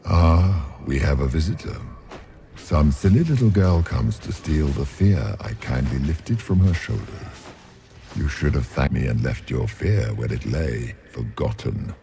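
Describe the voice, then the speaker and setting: An actor playing a huge nightmare demon in a video game, taunting and grandiose with mock courtesy. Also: male voice, a bass voice, animated, a little slow, creaky, mellow, slight background noise, heavily acted. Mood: smug, taunting. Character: huge male nightmare demon, sneering, menacing, condescending. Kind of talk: video game villain acting.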